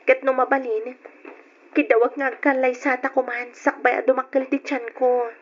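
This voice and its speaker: A woman's voice: high-pitched, little pitch movement, moderate pace. A woman playing a heartbroken pregnant young woman in an old Ilocano radio drama, with an Ilocano accent and an emotional delivery. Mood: hopeful, puzzled. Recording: dull lo-fi old radio recording.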